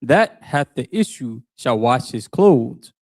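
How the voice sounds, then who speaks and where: A man reading old scripture aloud in a steady, formal way, sounding distant from the mic. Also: mid-range voice, slow pace. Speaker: scripture reader.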